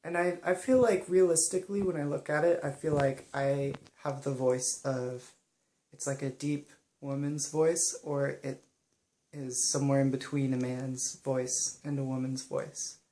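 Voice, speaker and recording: Young woman, slow pauses, soft hesitant talk, roomy echo. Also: a bass voice, steady tone. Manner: soft-spoken and hesitant, many pauses and ums. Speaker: vlogger. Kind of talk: personal reflective monologue.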